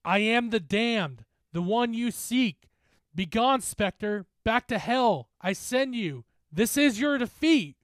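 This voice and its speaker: Man playing a shouting, defiant teenage boy. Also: sits high, lively intonation, no room sound, pitched above the performer's own voice. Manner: slow, emphatic shouted incantation. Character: desperate, defiant teenage boy chanting a banishing spell.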